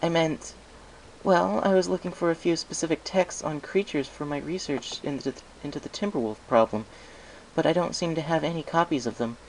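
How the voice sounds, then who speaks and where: Male reader as female pony scholar, higher voice, bookish, wordy, polite eagerness, storybook, light background noise. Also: fairly quick, natural voice, low-quality recording. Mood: polite, coaxing. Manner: precise, wordy.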